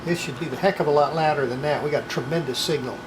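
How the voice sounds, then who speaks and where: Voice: mid-range voice, fast, roomy sound. Older folksy workshop tinkerer, noisy room. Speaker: radio restorer presenting own repair. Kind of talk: casual hands-on workshop narration. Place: small cluttered indoor electronics workshop.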